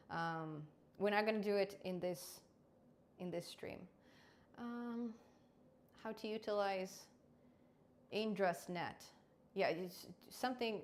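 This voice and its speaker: A young adult woman hosting a live coding stream, chatty and quick to laugh, often restarting her words. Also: on the low side. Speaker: programming channel host.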